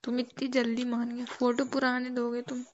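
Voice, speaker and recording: Young woman, high-pitched, insistent, questioning, casual couple's phone talk, muffled noisy call recording. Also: monotone, normal speed. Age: young adult. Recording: dull phone call recording with noticeable noise.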